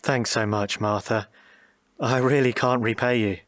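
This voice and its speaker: An actor playing a gentle, anxious husband in a radio drama, speaking in a hesitant, halting way. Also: male voice, mid-range voice, fairly even intonation, dry recording, studio quality, close to an everyday voice. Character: gentle, patient, anxious husband pleading with his partner.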